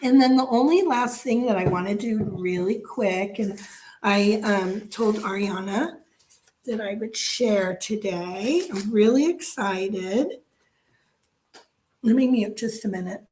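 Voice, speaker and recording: Woman, slightly hoarse, froggy throat, rambling craft live-stream host, many fillers, mid-pitched voice, home dining room. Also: slow pace, darkish tone, a little hiss or hum, low-quality recording.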